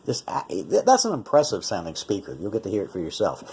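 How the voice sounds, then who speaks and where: A man's voice: on the low side, wildly swooping pitch, fast, a little mellow, slightly rough. A man giving a casual, explanatory product demonstration as a reviewer, conversational and often correcting himself. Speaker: radio reviewer.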